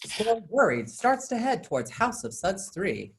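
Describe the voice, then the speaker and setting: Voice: medium pitch, very animated, moderate pace, slight background noise, low-quality recording. A narrator reading out scripted stage directions for a staged play reading.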